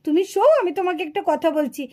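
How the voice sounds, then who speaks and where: A woman voicing a soothing, indulgent village aunt in a children's puppet cartoon, coaxing and gently chiding as she talks. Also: extremely high pitch, quick pace.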